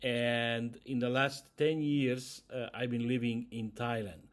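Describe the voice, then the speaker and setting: A man's voice: medium pitch, steady tone, measured pace. Hungarian-accented man, hesitant talk. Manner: hesitant, many "uh" fillers, non-native grammar. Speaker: YouTube channel host. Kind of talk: casual talk to camera.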